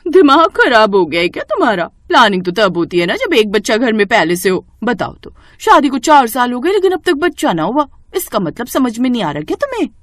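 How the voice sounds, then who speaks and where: A woman's voice: fairly high pitch, sing-song, brisk, few pauses, fairly bright tone, no room sound. A woman voicing a catty, gossiping neighbourhood woman in a cartoon story, snide and emphatic. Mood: scornful.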